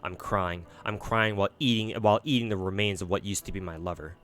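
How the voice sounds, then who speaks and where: A man's voice: fairly low pitch, fairly bright tone, faint music behind, natural voice. Brief: tormented female fairy beast, shaken and self-loathing, a man voices her. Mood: grieving. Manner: shaken, broken, halting.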